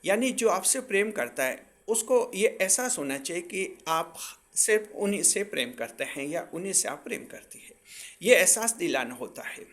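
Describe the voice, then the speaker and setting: A man's voice: high-pitched, sing-song, moderate pace, fairly bright tone. A man presenting astrology advice to viewers in a didactic way, repeating and rephrasing his points for emphasis.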